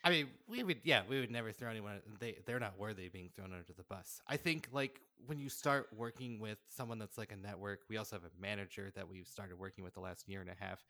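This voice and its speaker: A man's voice: fairly low pitch, quick pace, hardly any pauses, sharp tone, dry recording. A man speaking earnestly and informally as a guest in a casual interview about his creative work. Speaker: podcast guest, audio drama creator.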